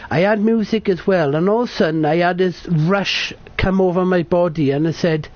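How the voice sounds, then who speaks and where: British guy in his 30s, high voice, muffled lo-fi recording, chatty swearing storyteller. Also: moderate pace, no echo. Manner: sweary, self-correcting, chatty. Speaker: vlogger. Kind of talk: rambling casual storytelling. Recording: dull lo-fi sound.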